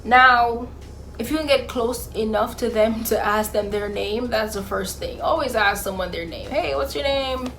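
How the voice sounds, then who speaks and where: A woman's voice: a little high, moderate pace, fairly bright tone, soft background music, rough recording. Sassy Jamaican-accented young woman vlogger. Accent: mixed Jamaican and Bahamian. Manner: sassy, playful, sarcastic asides. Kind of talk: casual talk to camera.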